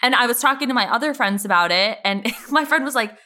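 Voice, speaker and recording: A woman's voice: a little high, animated, fast, bright, forceful tone. A woman co-hosting a podcast, bantering casually about television in an emphatic, slangy, gushing way. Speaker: podcast co-host.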